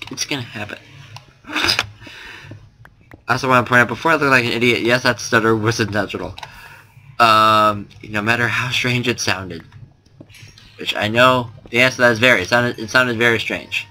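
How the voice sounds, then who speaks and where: Rambling young man with a bright voice. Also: lowish voice, rough recording. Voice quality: slight lisp. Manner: rambling, self-deprecating, frequent fillers and restarts. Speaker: gameplay commentator. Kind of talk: casual game commentary.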